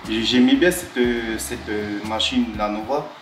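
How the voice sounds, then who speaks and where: A tattoo artist from Tahiti, talking about his work in a halting way, with many restarts and fillers. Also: mid-range voice, a bit bright, roomy sound, faint music behind. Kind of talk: talking-head interview.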